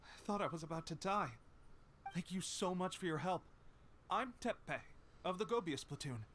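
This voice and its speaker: Humble, eager young male game soldier, lightly high voice, faint background sound. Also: expressive, normal speed, fairly bright tone, a little hiss or hum. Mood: relieved, sheepish. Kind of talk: video game dialogue.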